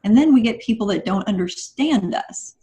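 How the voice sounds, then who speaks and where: A woman with a mid-range voice talks as a guest on a podcast. She explains her points conversationally, in articulate, measured phrases. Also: mid-range voice, animated, dark tone. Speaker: guest writer.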